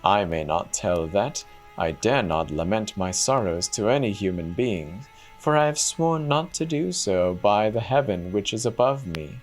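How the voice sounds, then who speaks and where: Storybook role: sorrowful princess in goose girl disguise, solemn, slow, lamenting played by a man in his low register. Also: expressive, soft background music. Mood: sorrowful, guarded. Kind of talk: dramatic storybook reading.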